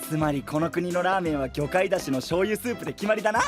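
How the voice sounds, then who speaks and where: A man voicing a cheerful, carefree young traveler with a boisterous, naively confident manner in an animated audio drama. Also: high voice, fast talker, over music, close to an everyday voice. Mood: upbeat, carefree. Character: cheerful, food-loving, carefree young male traveling companion. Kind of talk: animated Japanese voice drama acting.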